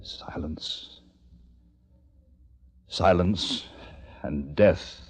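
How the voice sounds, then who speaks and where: An actor playing a desperate, haunted plague survivor, narrating in a halting, theatrical old-time radio style. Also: male voice, low voice. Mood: bleak, exhausted. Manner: intense, halting, theatrical delivery. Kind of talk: dramatic first-person radio narration. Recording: muffled vintage radio, music underneath.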